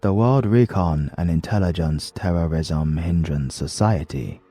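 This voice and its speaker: A man's voice: deep voice, unhurried, dark tone, no room sound. A man with a British accent voicing a firm, emphatic male associate of a crime boss. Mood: stern.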